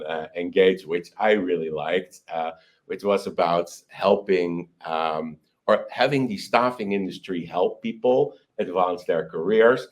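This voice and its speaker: A man's voice: deep voice, darkish tone. A man giving conversational interview answers as a company executive, often breaking off and restarting, with an enthusiastic manner.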